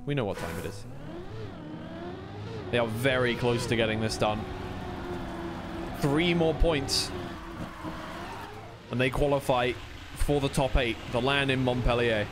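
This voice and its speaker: Man commentating, over clear background noise. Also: mid-range voice, measured pace, pauses often. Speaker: esports commentator. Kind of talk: live play-by-play commentary of a racing game match.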